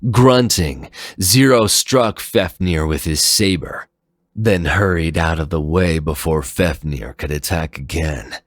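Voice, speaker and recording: A man's voice: rumbling low, takes it slowly, no room sound, clean studio sound. A man reading fan fiction as a dramatic, scripted story narration.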